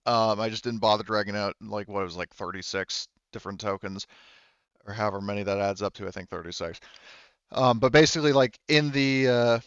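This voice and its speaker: A man narrating a tabletop game casually as game master, in a halting, conversational way. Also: fairly low pitch, smooth, no room sound, lo-fi sound.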